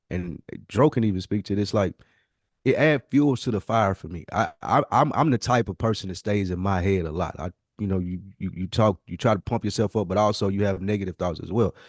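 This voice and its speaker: Male podcast co-host, rapid delivery, dry close sound, unfiltered street slang and swearing. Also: on the low side, no echo. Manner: slangy, profane, candid. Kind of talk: casual podcast conversation.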